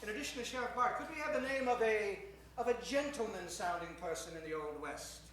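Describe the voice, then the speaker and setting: A man's voice: sits high, fairly quick, fairly bright tone, slight background noise. A man announcing a live improvised radio show on stage, courteous and mock-formal, in the manner of an old-time showman. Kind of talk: theatrical live emceeing to an audience. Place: stage in front of a live audience. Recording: echoey hall, distant microphone.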